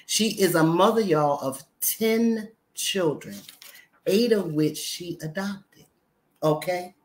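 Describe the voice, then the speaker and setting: A woman's voice: lowish voice, takes it slowly. Effusive woman hosting a talk show. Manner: effusive, addresses audience as y'all. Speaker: host.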